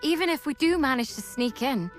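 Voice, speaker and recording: A woman's voice: high voice, animated, fairly quick. A woman playing a seasoned, easygoing female mercenary in a fantasy video game cutscene. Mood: serious. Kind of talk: English game cutscene voice acting. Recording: music behind the voice.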